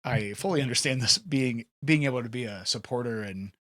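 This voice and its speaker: A man's voice: medium pitch, brisk, a bit bright, dry recording. A man hosting a podcast, chatting casually and hesitantly with fillers. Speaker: podcast host. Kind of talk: casual conversational interview.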